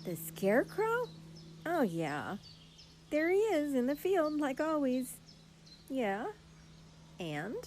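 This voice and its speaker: A woman's voice: high voice, big pitch swings, slow, pauses often, a little mellow, a little hiss or hum, exaggerated voice. A woman playing a teasing, skeptical schoolgirl in an audio horror drama, breezy and dismissive.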